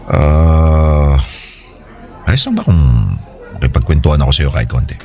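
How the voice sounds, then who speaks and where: A man's voice: extremely low pitch, sing-song, a little slow, dark tone, full character voice. An actor with a Filipino accent playing a troubled, hesitant married man in a conversational radio drama style. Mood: troubled, confiding.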